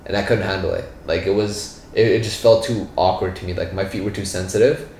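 A man's voice: sits low, steady tone, fairly quick, darkish tone. A man, raised in Canada by a Punjabi-speaking family, talking in a rambling, slangy casual chat. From born in India, raised in Brampton, Canada. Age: 20s. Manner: slangy, rambling, full of "yo" and "bro". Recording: roomy echo, faint background noise.

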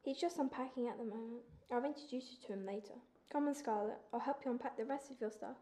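A female performer playing a timid, kindly bullied orphan girl in a wartime drama, hesitant and gentle. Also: a little high, fast, natural voice.

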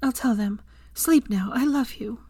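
A woman's voice: fairly high pitch, a little slow, dark tone, breathy edge, no room sound, studio quality, voice pushed up. A devoted young sweetheart, acted by a woman narrator, speaking soothingly and affectionately in a lifted voice. She is a tender audiobook romance character.

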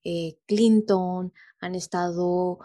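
A woman's voice: fairly low pitch, steady tone, extremely slow, dark tone. A Mexican woman, a guest on a remote interview, talking casually with many hesitations and little rhetorical tags.

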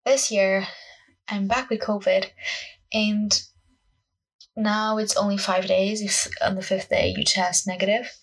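A woman around twenty with a Portuguese accent chats casually into a solo podcast. Her voice is fairly husky, with plenty of vocal fry. She rambles in a scattered, self-conscious way, leaning on "like" as she goes. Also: medium pitch, steady tone, measured pace, pauses often, low-quality recording. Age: young adult, about 20. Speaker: podcast host, acting student.

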